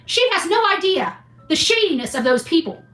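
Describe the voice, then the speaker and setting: Female livestream host, indignant and blunt, animated bright delivery, scolding opinion rant with repetition. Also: very high-pitched, normal speed. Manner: indignant, scolding, repeats phrases for emphasis; blunt, mildly profane. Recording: echoey room, faint music behind.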